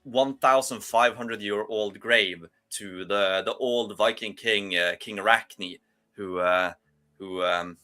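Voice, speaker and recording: A man's voice: fairly low pitch, bright, forceful tone. A man from Norway with a Norwegian accent, rambling through a casual panel chat with many fillers and restarts.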